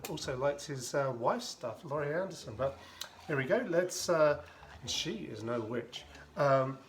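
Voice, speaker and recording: A man's voice: mid-range voice, noisy background. A man casually explaining how he makes a cocktail at home, chatting as he mixes, with rambling asides and dry humor. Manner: narrates while mixing and shaking drinks. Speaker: home bartender making a cocktail.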